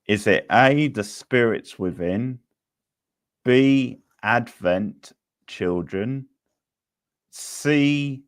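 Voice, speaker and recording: A man casually hosting a live quiz, reading out questions, options and scores in a halting way. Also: mid-range voice, no room sound, clean studio sound. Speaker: quiz host.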